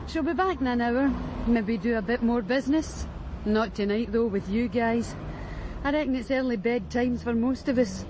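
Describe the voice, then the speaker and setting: Actress as a brash, high-voiced Glaswegian streetwalker. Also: female voice, normal speed, lightly acted. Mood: matter-of-fact. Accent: Glaswegian Scottish. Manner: breezy, frank, unbothered. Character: brash, streetwise, matter-of-fact Glasgow streetwalker. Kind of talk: naturalistic radio drama acting. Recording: faint urban street noise.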